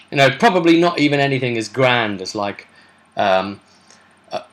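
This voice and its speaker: Bright-voiced man, chatty game master. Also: medium pitch. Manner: rambling, chatty asides. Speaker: game master and vidcast host. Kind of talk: casual recap of a tabletop role-play session.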